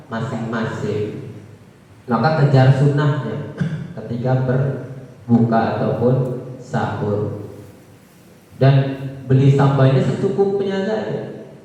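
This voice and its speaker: A male preacher with a thick Javanese accent from Tegal addresses a congregation in a plain, conversational way. His voice sits at an everyday male pitch and often poses questions that he answers himself. It rings with heavy echo in a big room, picked up from far off. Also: dark tone. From Tegal, Central Java, Indonesia. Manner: conversational, asks rhetorical questions and answers them. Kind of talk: religious talk to a congregation. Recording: echoey hall, far from the mic.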